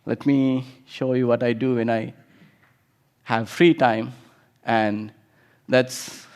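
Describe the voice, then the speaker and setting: A man's voice: medium pitch, fairly even intonation, takes it slowly, a little mellow, smooth. A man in his thirties from Sri Lanka, giving an informal conference talk with frequent restarts and fillers. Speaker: conference speaker.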